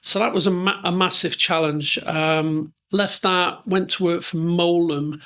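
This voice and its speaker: A man's voice: high voice, fairly even intonation, measured pace, fairly bright tone. A middle-aged man with a northern English accent, telling rambling, self-deprecating stories as a podcast guest. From northern England. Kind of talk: conversational storytelling.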